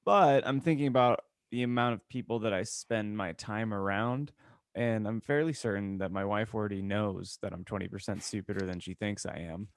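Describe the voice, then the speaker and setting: A man's voice: lowish voice, normal speed, clear, resonant tone, no room sound, clean studio sound. A man co-hosting a podcast in casual, jokey, self-deprecating chat. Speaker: podcast co-host.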